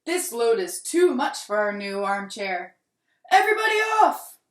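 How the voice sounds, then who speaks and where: A woman voicing a tired, fussy, grumbling dad from a children's storybook, in a loud exclaiming manner. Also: a little high, sing-song, measured pace. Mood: alarmed. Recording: roomy, echoing room sound.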